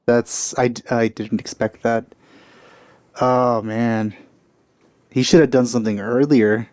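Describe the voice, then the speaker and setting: Mellow guy chatting over a game, musing, super dry close mic. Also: medium pitch, steady tone, slow pace, no room sound. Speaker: let's play host. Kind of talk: casual game commentary.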